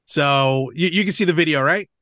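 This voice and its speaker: A man's voice: on the high side, moderate pace, sharp tone. A middle-aged man hosting a casual movie podcast, chatting in a rambling way with conversational asides. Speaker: podcast host.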